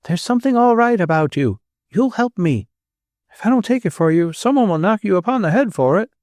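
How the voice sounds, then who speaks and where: A man's voice: high voice, animated, fairly quick, darkish tone, dry recording, clean studio sound, voice pushed up. A man voicing a shaky, desperate stranger who insists and pleads. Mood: pleading.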